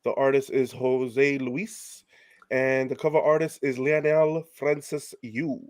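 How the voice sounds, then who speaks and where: A man's voice: mid-range voice, little pitch movement, takes it slowly, darkish tone, no echo, clean studio sound. Stuffed-up man hosting a podcast. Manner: laughing, casual, profane. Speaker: podcast host. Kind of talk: casual podcast chat.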